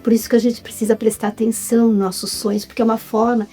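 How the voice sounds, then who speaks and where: Lively Brazilian woman in her fifties. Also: a little high, fast. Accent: Brazilian Portuguese. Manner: lively, addresses the audience as "gente". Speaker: interview guest, actress. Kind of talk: casual interview chat.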